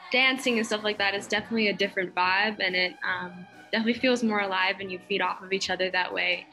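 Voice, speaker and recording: A woman's voice: mid-range voice, bright, forceful tone, rough recording. A teenage girl from Boston, a drama student, speaking earnestly with some fillers. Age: late teens.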